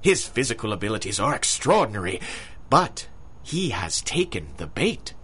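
An actor playing an arrogant, obsessive big-game hunter in a theatrical 1930s-style radio drama, with a pompous, husky delivery. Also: male voice, lowish voice, sing-song, normal speed, sharp tone, heavily acted. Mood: tense, commanding.

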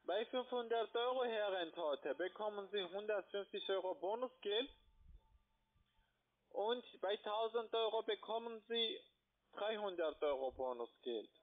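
A man with a foreign accent, not a native speaker, gives an insistent phone sales pitch in halting phrases. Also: expressive. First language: not German. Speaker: call-centre investment salesperson. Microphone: phone call. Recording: tinny narrow-band phone line.

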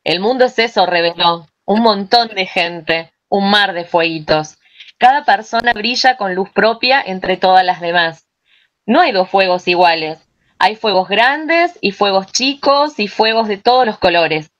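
A woman's voice: medium pitch, measured pace, fairly bright tone. A middle-aged woman presents and reads aloud to a workshop over a video call. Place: indoor room. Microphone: computer mic on a video call. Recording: dull, muffled video-call audio.

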